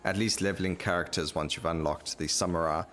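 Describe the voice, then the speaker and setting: A man with a low-pitched voice gives casual gameplay commentary over music. He thinks aloud, talking through each choice as he plays. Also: fairly even intonation, a little fast, fairly bright tone. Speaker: gaming YouTuber. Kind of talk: casual video game commentary.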